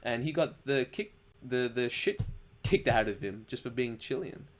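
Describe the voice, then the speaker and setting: A man's voice: medium pitch, lively intonation, normal speed. A young Australian man with an Australian accent, chatting casually on a live stream, answering viewer questions in a laid-back, slangy way with casual swearing.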